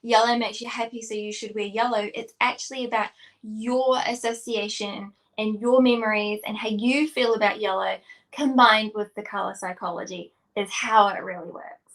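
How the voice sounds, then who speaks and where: A woman's voice: medium pitch, steady tone, moderate pace, fairly bright tone, low-quality recording. A young woman with a mixed New Zealand and Australian accent, co-hosting a podcast and explaining her ideas analytically. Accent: New Zealand-Australian mix. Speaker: co-host, stylist. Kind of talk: casual podcast chat.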